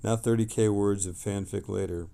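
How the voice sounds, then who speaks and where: A man casually hosting a livestream, reading messages aloud and replying in a rambling, halting way that trails off. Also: on the low side, fairly even intonation, vocal fry, dark tone.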